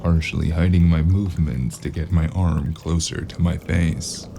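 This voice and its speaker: A man playing a world-weary, wry noir private investigator in an audio drama, with a gravelly voice. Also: rumbling low, fairly even intonation, normal speed, warm tone, soft background music. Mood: brooding, wry.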